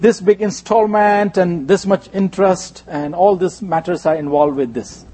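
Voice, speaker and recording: A man with an Indian accent, a native Gujarati speaker, preaching in short phrases with a husky voice, pausing for an interpreter. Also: sits high, steady tone, warm tone.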